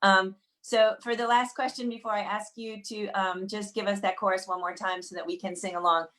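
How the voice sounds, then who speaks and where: A woman's voice: medium pitch, fairly even intonation, brisk, a bit bright. Woman hosting an online sing-along. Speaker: host. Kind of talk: online singing session host.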